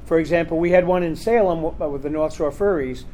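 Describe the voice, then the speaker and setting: A rather high-voiced man talks casually in a convention panel room. He rambles loosely and leans on "um" and "you know" as he goes, like someone used to hosting these talks. There is a faint hum of room ambience behind him. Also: quick pace, warm tone. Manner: rambling, chatty, full of fillers. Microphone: recorder in the room.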